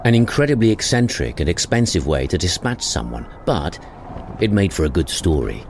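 A man's voice: deep voice, very animated, normal speed. A man narrating a scripted audio drama with a wry storytelling manner. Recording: clearly noisy ambient bed behind voice.

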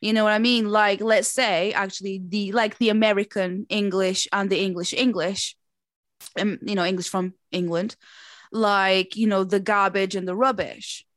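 A woman from Portugal, a native Portuguese speaker, chatting casually in English and telling stories in a chatty, animated way. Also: medium pitch, normal speed, dry recording. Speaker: performer who does shows. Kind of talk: casual chat.

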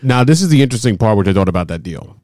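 A man in his forties hosting a casual baseball chat, excitable and often repeating himself. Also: on the low side, racing, no room sound, clean studio sound. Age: 40s. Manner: excitable, repeats himself, stumbles over words. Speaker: host. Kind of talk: casual sports talk.

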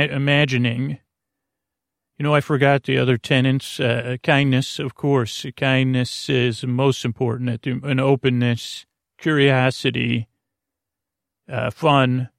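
Creaky voiced guy doing a rambly sleep podcast, keeps stopping and interrupting himself, really dry sound. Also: medium pitch, no room sound. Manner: meandering, halting, self-interrupting. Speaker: podcast host. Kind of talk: rambling bedtime podcast monologue.

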